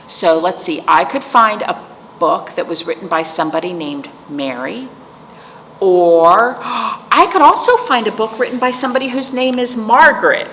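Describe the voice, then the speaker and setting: Slow-paced woman hosting children's storytime, echoey room. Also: medium pitch. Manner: gentle, playful, addressing young viewers as friends. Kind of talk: read-aloud and chat for children. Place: indoors, room with aquamarine wall. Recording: echoey room with clear background noise.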